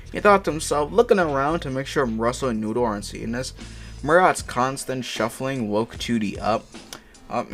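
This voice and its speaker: A man hosting a comic reading of fan fiction, stumbling over words and breaking into slangy, profane, exasperated asides. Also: medium pitch. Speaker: host reading fan fiction aloud for an online video. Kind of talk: comic dramatic reading with casual side commentary. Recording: music playing behind the voice. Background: music.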